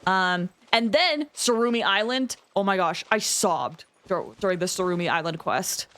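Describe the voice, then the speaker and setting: A woman hosting a livestream, chatting casually with viewers in an expressive, emphatic way. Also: mid-range voice, normal speed. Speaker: livestream host.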